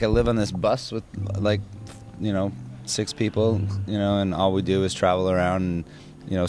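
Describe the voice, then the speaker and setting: A man's voice: low voice, darkish tone, a little hiss or hum. A man, singer of a rock band, answering interview questions in a rambling, casual way with many restarts and fillers.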